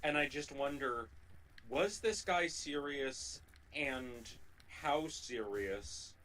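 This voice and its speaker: Halting, rambling man co-hosting a game stream. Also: medium pitch, expressive, fairly bright tone, poor audio. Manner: rambling, halting, repeats phrases. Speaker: co-host of a gaming livestream. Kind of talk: casual chat during gameplay.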